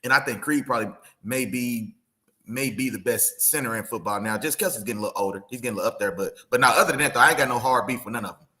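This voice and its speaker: A man co-hosting a casual sports podcast, animated and opinionated, often saying "you know what I'm saying.". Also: mid-range voice, rapid-fire.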